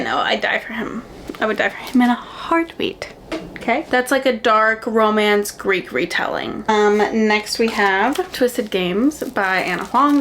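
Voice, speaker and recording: Chatty young woman with audible background noise. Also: a little high. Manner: animated, gushing, dramatic emphasis. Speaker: book reviewer and vlogger. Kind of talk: casual chatty book recommendation vlog.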